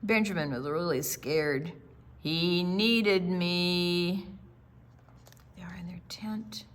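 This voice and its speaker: An older woman reading a picture book aloud to children as a storytime reader, with dramatic, deliberate phrasing and quieter conversational asides. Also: on the low side.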